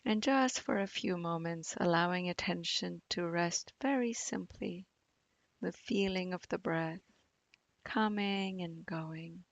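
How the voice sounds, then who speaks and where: A woman guides a meditation very slowly, in a fairly low voice. Her delivery is gentle and soothing, with long pauses and a gravelly fry at the edges. The sound is close and dry. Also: no room sound, lo-fi sound. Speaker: meditation teacher. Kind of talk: guided body scan meditation.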